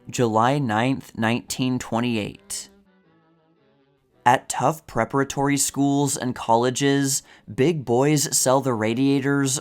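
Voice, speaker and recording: A man narrating a scripted, vintage news-style article in measured, deliberate phrasing. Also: mid-range voice.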